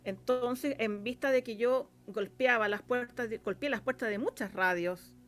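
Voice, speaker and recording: A woman's voice: fairly high pitch, normal speed. A woman with a Chilean accent speaking as a guest in a casual interview, chatty and rambling with plenty of filler phrases. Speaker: interview guest, radio drama scriptwriter.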